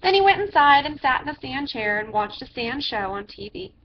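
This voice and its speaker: Female storyteller, high-pitched, theatrical read-aloud for kids; muffled, noisy home-grade recording. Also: sing-song. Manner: theatrical, drawn-out phrases with emphatic character voices. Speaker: storyteller reading to children. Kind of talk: animated picture-book read-aloud. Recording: dull lo-fi sound with background noise.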